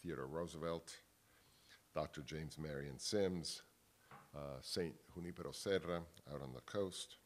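A man, giving a measured academic panel talk at a table microphone in a wood-panelled hall, often restarting words. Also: extremely low pitch, a little hiss or hum. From Italy. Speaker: panel speaker. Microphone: table microphone on stand.

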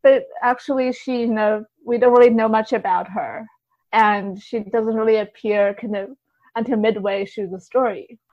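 A woman with a fairly high voice talks through ideas as a podcast guest. Her manner is informal and hedging, peppered with "kind of" and "like". The sound is very dry and slightly muffled. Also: fairly even intonation, moderate pace, no room sound. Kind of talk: casual literary discussion.

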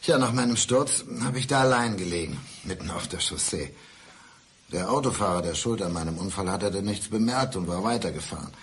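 An actor playing a coldly calm husband who plots murder, speaking deliberately in a German radio crime drama. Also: male voice, sits low. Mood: pained recounting. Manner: deliberate, menacing composure, philosophizing. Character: coldly calm husband plotting to kill his wife. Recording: 1990s radio play with music behind.

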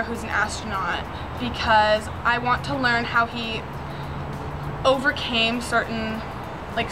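A woman's voice: fairly high pitch, low-quality recording. A teenage girl student introducing herself to camera, earnest, with ums and likes. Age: teens. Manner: earnest, filler words like um and like. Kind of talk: scripted self-introduction to camera. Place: outdoors by a building.

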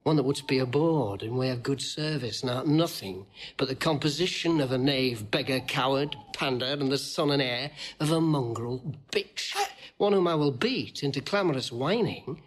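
A man's voice: mid-range voice, moderate pace, lightly acted. An actor playing a blunt, hot-tempered grey-bearded nobleman who rails and insults in a declamatory Shakespearean audio drama. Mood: contemptuous railing.

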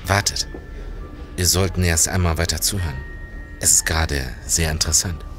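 A man playing a shrewd, composed, suspicious police investigator in a period crime radio play, speaking deliberately and with command. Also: low voice, steady tone, fairly bright tone, soft background music. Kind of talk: German period crime audio drama.

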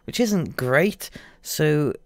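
A man's voice: fairly high pitch, animated, extremely slow, vocal fry. A young man in casual let's-play commentary, chatty and rambling, his voice still a bit rusty after losing it. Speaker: gaming YouTuber.

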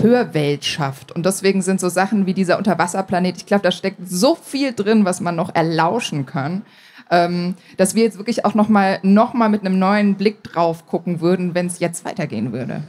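A woman's voice: mid-range voice, normal speed, darkish tone. A woman speaking casually as a guest in an on-stage interview. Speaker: podcast author, interview guest. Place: stage at a book fair.

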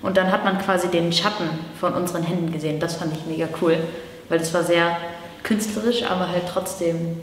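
A woman's voice: on the low side, fairly even intonation, a little fast, a little hiss or hum. An eighteen-year-old woman from Germany answering interview questions in a chatty way, in long sentences full of fillers. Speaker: camp participant. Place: indoor room against a bare wall. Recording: distant, echoey room with reverb.

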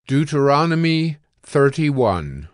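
A man's voice: fairly high pitch, very animated, takes it slowly, no echo, clean studio sound. A man narrating a dramatized scripture recording in a scripted style.

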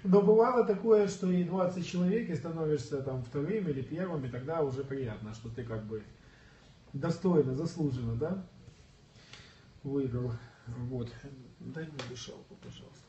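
Man, bodybuilding coach, a bit sick with a cough, earnest storyteller, higher voice, roomy and distant. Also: moderate pace, warm tone, slight background noise. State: slightly ill, coughing. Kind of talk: casual livestream chat. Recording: echoey room, far from mic, dull.

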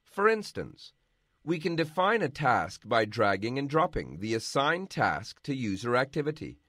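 A man giving scripted, measured narration for a software tutorial. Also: medium pitch, very animated, no room sound, studio quality. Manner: measured, instructional.

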